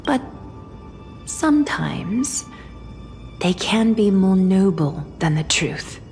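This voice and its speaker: A woman voicing a compassionate, solemn mentor in a video game, speaking in a measured, gentle and earnest way. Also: mid-range voice, big pitch swings, faint music behind. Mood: solemn, consoling. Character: compassionate, solemn female mentor, a seamstress with golden threads. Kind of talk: dramatic video game voice acting.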